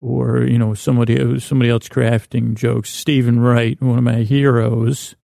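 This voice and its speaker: A man hosting a bedtime podcast with a rambling, absurd comic monologue, halting and often losing his thread, his words a bit slurred and mumbled. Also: medium pitch, flat delivery, gravelly, mellow, no echo, clean studio sound.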